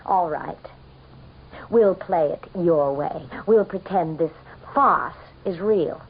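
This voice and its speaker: An actress playing a haughty, desperate wife with a dramatic, scornful intensity in a radio mystery drama. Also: female voice, lowish voice, slow pace, breathy edge, heavily acted. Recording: dull old radio broadcast.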